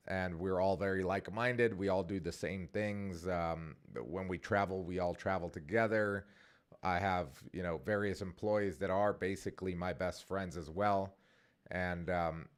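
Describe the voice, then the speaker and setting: A man in his forties hosting his own vlog, talking casually and rambling with many fillers and restarts. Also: sits low. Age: 40s. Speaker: vlogger and podcast host.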